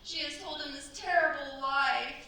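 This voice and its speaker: A high-voiced, distressed young wife pleads her innocence in period radio drama, played by a woman on stage. Her bright tone carries from a distance in a very echoey hall. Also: normal speed, creaky, slight background noise, heavily acted. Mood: agitated. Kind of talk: staged radio play acting. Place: staged performance in a cultural centre.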